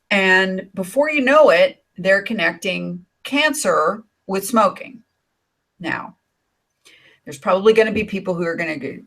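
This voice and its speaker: An older woman with a raspy voice from years of smoking talks slowly and a little low. She rambles through her points with fillers and side remarks, speaking into a computer mic on a video call. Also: fairly bright tone. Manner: rambling, with many fillers and asides. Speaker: co-host of an online hangout. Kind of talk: casual chat on a video call.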